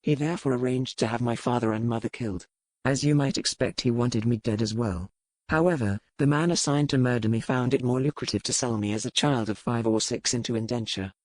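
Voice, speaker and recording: An actor playing a proud, hardened pirate lord with a bitter past, speaking in a formal, measured, dry way in an audiobook. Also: male voice, medium pitch, darkish tone, no room sound, natural voice, poor audio. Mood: dry, matter-of-fact. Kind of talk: audiobook character dialogue.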